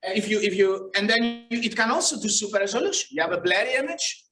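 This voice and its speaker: A man's voice: high voice, fast talker, pushed and bright, soft background music. A man with a Greek accent giving a casual conference lecture, with frequent fillers and self-corrections. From Greece. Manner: casual, self-deprecating, many fillers and restarts. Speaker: keynote speaker, researcher. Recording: roomy, distant hall sound.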